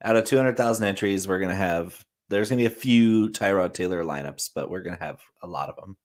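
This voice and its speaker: A man co-hosting a casual fantasy sports show, relaxed and repeating words as he thinks. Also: low voice, quick pace. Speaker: co-host.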